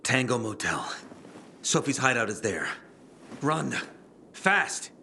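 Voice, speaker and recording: An actor playing a gruff, boastful, hard-drinking young male fighter in a video game, talking brashly and suspiciously. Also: medium pitch, big pitch swings, takes it slowly, sharp tone, a little hiss or hum, exaggerated voice. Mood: urgent.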